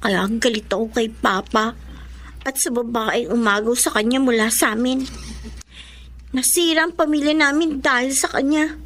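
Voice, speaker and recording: A woman's voice: on the high side, moderate pace, fairly bright tone, a little hiss or hum, close to an everyday voice. A woman playing a young girl in a radio drama, with a bitter, earnest delivery. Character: young girl, bitter daughter, then bright younger sister.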